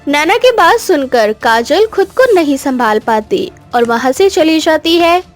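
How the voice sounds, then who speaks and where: A woman narrates a moral story from a script. Her voice is high and very animated in pitch, with faint music underneath. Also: a little slow.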